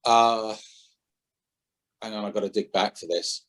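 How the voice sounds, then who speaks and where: A man's voice: lowish voice, steady tone, measured pace, a bit bright. A man, a former head trader, speaking as a podcast guest, opinionated and thinking aloud, often correcting himself mid-sentence. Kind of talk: conversational interview.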